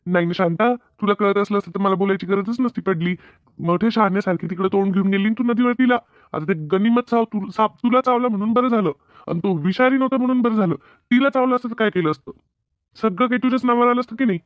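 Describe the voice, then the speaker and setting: Guy doing bossy village farmer husband cartoon, high pitched, rural maharashtrian accent, insistent, dry tinny phone-like sound. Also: fast talker, no echo, close to an everyday voice. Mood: scolding. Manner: colloquial, insistent, nagging. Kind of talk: animated comedy cartoon dialogue. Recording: narrow phone-like band, dry.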